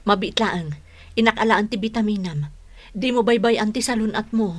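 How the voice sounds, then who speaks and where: A woman's voice: mid-range voice, normal speed, a little hiss or hum, natural voice. An actress playing a caring, motherly household helper in a radio drama. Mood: caring, concerned.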